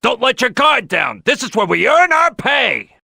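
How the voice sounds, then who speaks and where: An actor voicing a brash, gung-ho male crocodile detective boss in a gruff, raspy video game voice. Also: very high-pitched, sing-song, a little slow, sharp tone, dry recording, studio quality, heavily acted. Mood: brash, commanding.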